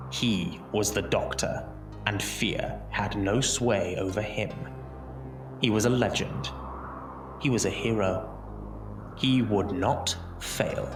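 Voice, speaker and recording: A man with a fairly low voice narrates an audio drama in a theatrical, deliberate way, pausing for effect. The room echoes around him, and music runs underneath. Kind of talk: dramatic scripted storytelling narration. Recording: roomy echo under music.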